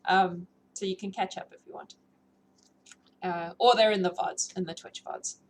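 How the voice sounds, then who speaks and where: A woman's voice: lowish voice, fairly even intonation, normal speed. A woman with a mixed South African, British and New Zealand accent chats informally and gigglily as the host of a book-reading livestream. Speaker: livestream host who reads novels aloud. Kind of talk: casual livestream chat with viewers. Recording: clearly noisy room ambience.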